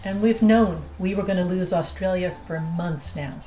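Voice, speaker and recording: A woman's voice: on the low side, moderate pace, mellow, echoey room, faint music behind, close to an everyday voice, rough recording. A woman playing a flippant, irreverent pilot in an audio drama, with a teasing, sarcastic manner. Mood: dismissive. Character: flippant, irreverent female pilot who flouts rules. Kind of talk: naturalistic audio drama acting.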